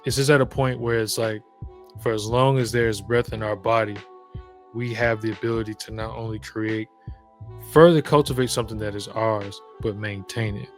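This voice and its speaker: A man's voice: on the low side, steady tone, normal speed, faint music behind. A man from the American South with a Southern accent, a podcast guest talking in a reflective, emphatic way, telling stories with frequent restarts. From Southern United States. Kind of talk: casual conversation.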